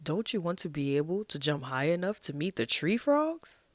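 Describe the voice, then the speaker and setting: A woman's voice: low-pitched, big pitch swings, normal speed, slight background noise. A woman voicing patient, easygoing grown-up animals in a picture-book read-aloud, coaxing and matter-of-fact. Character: patient grown-up frog parent and easygoing pig.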